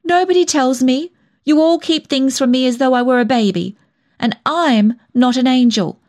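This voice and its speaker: Lady reading as emotional young girl in a storybook, high voice, hot tempered, pleading. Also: normal speed, a little mellow, close to an everyday voice. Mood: miserable, fierce. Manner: fervent, pleading, spluttering. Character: emotional, proud young woman, hot-tempered and self-reproaching.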